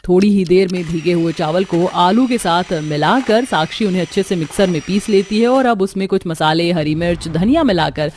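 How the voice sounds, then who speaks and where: A woman's voice: lowish voice, normal speed, hardly any pauses, a little mellow, slight background noise. A woman reading scripted narration for a children's cartoon story.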